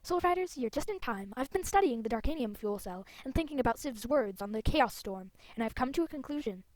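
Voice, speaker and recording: Grave, hushed fantasy quest leader read by a woman. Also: a little high, animated, a little fast, no room sound, lightly acted. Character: grave leader of magical riders, warning of danger. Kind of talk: reading out fantasy game dialogue.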